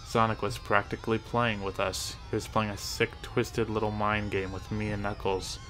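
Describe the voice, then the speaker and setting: A man's voice: fairly low pitch, fairly bright tone. A man reading a first-person horror story as a creepypasta narrator. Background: music.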